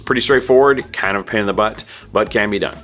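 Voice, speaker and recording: Low-voiced man, casual how-to host. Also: quick pace, a bit bright. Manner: informal, muttering to himself while working. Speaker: DIY video host. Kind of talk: casual hands-on how-to narration.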